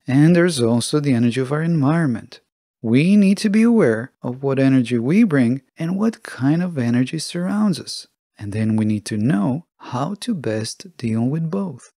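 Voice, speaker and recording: A man hosting a podcast alone, reading scripted narration in a measured, deliberate manner. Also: mid-range voice, creaky, dark tone, no echo, clean studio sound. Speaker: podcast host.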